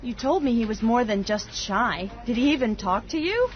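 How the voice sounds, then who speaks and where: A woman's voice: on the high side, lively intonation, moderate pace, natural voice. A woman acting a curious, friendly coworker in a scripted listening dialogue. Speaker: dialogue actor. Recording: dull lo-fi audio.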